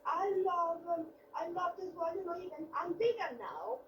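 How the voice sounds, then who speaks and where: High-pitched Italian-accented woman, distant and echoey. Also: slight background noise. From northern Italy. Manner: chatty, quick agreeing interjections. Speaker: interview guest. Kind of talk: casual interview chat.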